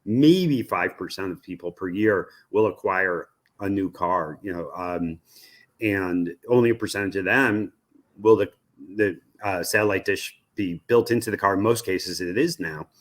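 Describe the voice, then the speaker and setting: A man's voice: low voice, measured pace. A man with an American accent giving a conversational expert interview, explaining things and thinking aloud with frequent asides. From United States. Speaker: media research executive, interviewee.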